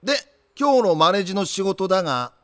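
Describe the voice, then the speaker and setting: A man playing a stern, level-headed high school volleyball captain in an anime audio drama, brisk and authoritative. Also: big pitch swings, a bit bright, clean tone, no echo, clean studio sound. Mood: commanding. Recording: music behind the voice.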